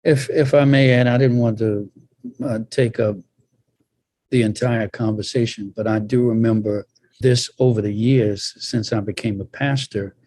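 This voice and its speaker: Mellow-voiced man, a measured pastor. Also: mid-range voice, dry recording. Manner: measured, emphatic repetition and pauses. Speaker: pastor, panelist. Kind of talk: panel discussion, deliberate remarks.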